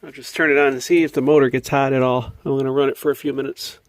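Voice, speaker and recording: A man's voice: medium pitch, fairly even intonation, quick pace, no echo. An American man giving a casual show-and-tell, thinking aloud in a hesitant, puzzled way. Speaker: hobbyist video maker. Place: indoors at a home workbench.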